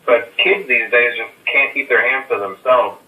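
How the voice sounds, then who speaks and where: A man's voice: mid-range voice, moderate pace, pushed and bright, recorded from a distance. A man playing a hesitant phone caller who leaves rambling, reassuring messages full of "uh" fillers. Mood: easygoing. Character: nervous male night-guard phone caller. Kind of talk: recorded game voice lines. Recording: muffled echoey phone-message recording.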